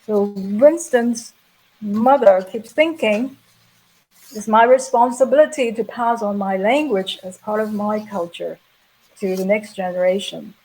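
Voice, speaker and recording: A middle-aged woman giving a measured, explanatory plenary lecture at an academic conference. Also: mid-range voice, darkish tone, poor audio. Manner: measured, explanatory, reading prepared remarks. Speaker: plenary speaker.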